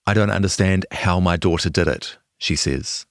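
A man's voice: deep voice, normal speed, no echo, clean studio sound. A man with a New Zealand accent reading a scripted longform feature as a journalist. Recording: music behind the voice.

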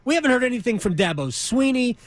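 Male sports talk host, high-pitched, emphatic, conversational, dry studio sound. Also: very animated, fast, no echo. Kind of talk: casual sports radio conversation. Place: radio studio. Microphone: close studio microphone.

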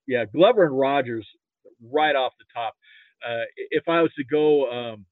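Muffled, phone-like man reminiscing, rambling and halting. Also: medium pitch, dry recording. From Nebraska. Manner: rambling, halting, repeats words. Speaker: interview guest, sports history archivist. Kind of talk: casual reminiscing about football.

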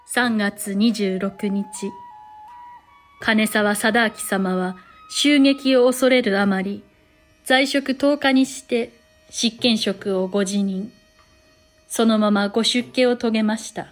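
A woman's voice: mid-range voice, fairly even intonation, slow, soft background music, close to an everyday voice. A woman voicing a courteous noblewoman who narrates a historical audio drama in polite, formal storytelling. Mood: composed.

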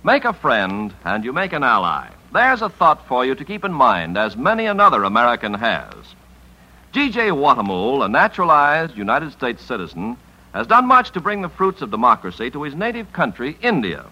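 Bright, swooping male radio announcer. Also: medium pitch, huge pitch swings, normal speed, rough recording. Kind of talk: 1950s scripted radio announcing.

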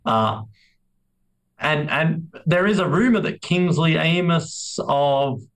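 A man giving opinions as a podcast co-host, emphatic and talking in halting bursts. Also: fairly high pitch, lively intonation, rough recording. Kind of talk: casual opinionated discussion.

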